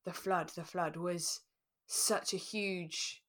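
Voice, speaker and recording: A woman in her thirties, co-hosting a podcast in relaxed chat and telling personal stories in an animated way. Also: fairly low pitch, takes it slowly. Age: 30s. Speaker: podcast co-host.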